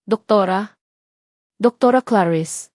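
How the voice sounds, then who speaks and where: A woman voicing a caring, worried village mother in a story, with a Filipino accent and gentle, pleading concern. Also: mid-range voice, slow, no room sound, studio quality. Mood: calling out.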